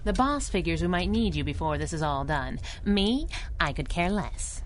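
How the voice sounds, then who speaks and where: A woman playing a brash, animated wisecracking sidekick. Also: fairly low pitch, normal speed, a little hiss or hum, natural voice. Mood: sardonic. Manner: sassy, slangy. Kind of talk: 1930s-style radio drama acting.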